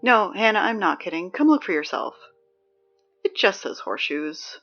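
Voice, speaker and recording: A woman's voice: medium pitch, animated, moderate pace, fairly bright tone, highs cut off. A woman playing a dry, sarcastic teenage girl who reads the school announcements in an audio drama. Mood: exasperated.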